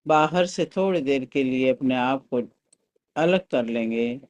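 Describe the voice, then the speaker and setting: A man leading a guided meditation as a teacher, calm and soothing, giving unhurried instructions. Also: medium pitch. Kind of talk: guided meditation with breath counting and mantra chanting.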